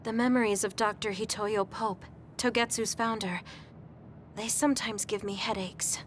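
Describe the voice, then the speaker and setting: A woman playing a sweet, guileless young woman in a video game, speaking gently and politely. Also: mid-range voice, steady tone, normal speed, slight background noise, close to an everyday voice. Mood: worried, sincere. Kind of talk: English video game voice acting. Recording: faint music behind the voice.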